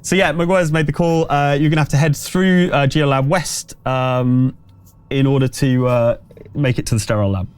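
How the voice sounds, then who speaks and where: A man running a tabletop horror game as game master, improvising descriptions in a halting, conversational way. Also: medium pitch, steady tone, a little hiss or hum.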